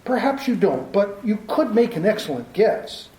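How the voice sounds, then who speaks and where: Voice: mid-range voice, big pitch swings, moderate pace, warm tone, close to an everyday voice. An actor playing a formal, persuasive district attorney, in 1940s radio style. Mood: officious. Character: smooth, officious district attorney, a man. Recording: roomy echo.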